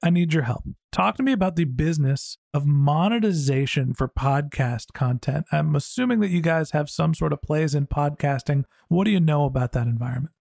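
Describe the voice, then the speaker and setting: A man's voice: fairly high pitch, a little fast, gravelly, few pauses, darkish tone, dry recording, studio quality. A man hosting a podcast in a casual, conversational interview style, with an informal, self-deprecating way of talking. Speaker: podcast host.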